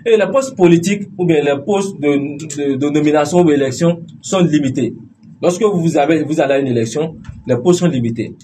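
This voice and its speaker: Male political debater, Beninese French accent, higher-than-average voice, insistent and repetitive, slightly noisy recording. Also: fairly quick, warm tone. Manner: insistent, repeats phrases to hold the floor. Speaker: political party activist debating as a guest.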